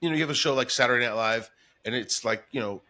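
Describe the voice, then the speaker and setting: A man's voice: medium pitch, fast talker, bright, forceful tone. A middle-aged man talking casually and enthusiastically as a guest in an interview, reminiscing in a chatty way. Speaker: radio morning show comedian, guest.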